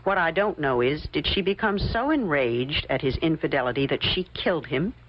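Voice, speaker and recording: A woman's voice: sits low, lively intonation, normal speed, a little hiss or hum. An actress playing a shrewd, coolly confident woman investigator in an old-time radio mystery, insistent and pointed. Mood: pointed, accusing. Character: shrewd, probing woman investigator laying out her theory. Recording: muffled old radio drama recording.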